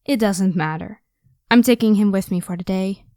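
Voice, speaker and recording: A woman's voice: mid-range voice, normal speed, dark tone, no echo, clean studio sound, lightly acted. A woman playing a caring, protective young vampire in an ASMR audio roleplay, talking casually and reassuringly. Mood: firm, protective.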